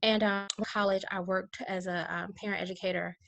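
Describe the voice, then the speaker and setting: A woman from with a mid-range voice that drops into gravelly fry. She talks in a relaxed, rambling way with lots of fillers over a video call. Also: medium pitch, fairly quick. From Chicago, USA. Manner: chatty, many fillers, laughs easily. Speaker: guest, educator.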